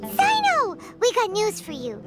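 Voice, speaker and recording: Game companion: squeaky, excitable little fairy, pitch all over the place. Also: female voice, measured pace, full character voice. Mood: eager. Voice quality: high, squeaky. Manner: indignant, exclamatory. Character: tiny floating fairy companion, excitable and bossy. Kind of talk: video game voice acting. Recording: music underneath.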